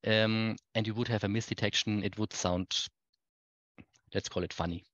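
A man giving a technical keynote presentation over a video call, explaining things in halting, hesitant phrases. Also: fairly low pitch, fairly even intonation, fairly bright tone, no room sound. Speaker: conference speaker. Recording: dull, muffled lo-fi online-call audio.

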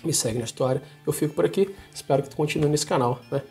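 A man's voice: on the high side, fast talker, a little mellow, husky, soft background music. A Brazilian man in his forties giving an informal, emphatic opinion monologue to camera in colloquial, slangy speech. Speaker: vlogger. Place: small home office, indoors.

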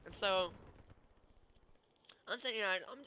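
A man's voice: high-pitched, takes it slowly, sharp tone, slight background noise, rough recording. A young man, chatting and telling stories casually over gameplay in a rambling, informal way. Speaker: gaming video creator.

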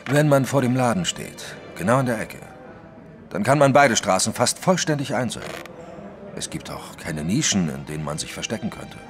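An actor playing a big, methodical detective in an audio drama, questioning in a deliberate, insistent manner. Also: male voice, mid-range voice, very animated, over music. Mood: probing, pensive. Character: big, probing French male detective, methodical and pensive.

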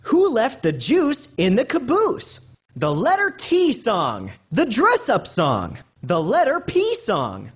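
A man voicing an upbeat young cartoon rabbit who guides children through an educational game, bouncy, encouraging and clearly enunciated. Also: extremely high pitch, wildly swooping pitch, takes it slowly, full character voice. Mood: friendly, instructive. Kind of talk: animated educational game voice acting.

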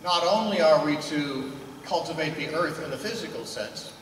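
A man's voice: a little high, moderate pace, roomy sound, big-room sound, far from the mic, slight background noise, low-quality recording. An older man preaching a sermon into a handheld mic, asking questions and answering them himself. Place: indoor meeting room.

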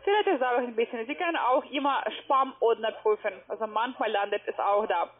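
A woman's voice: a little high, brisk. A woman working as a call-center agent on a sales call, speaking broken German with non-native phrasing, insistent and reassuring, often repeating that she agrees. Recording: tinny phone line.

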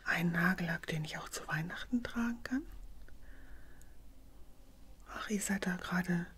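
A middle-aged woman in a gentle, unhurried whispered ASMR roleplay, speaking softly and breathily close to the microphone. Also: lowish voice, breathy edge. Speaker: ASMR creator playing a customer in a drugstore roleplay.

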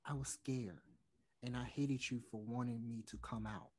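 A man's voice: mid-range voice, fairly even intonation, normal speed, a little mellow, natural voice. A young man playing a regretful, closeted touring musician, earnest and hesitant as he pleads to reconcile in a play read over video call. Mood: apologetic, confessional. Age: young adult. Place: small room at home, indoors.

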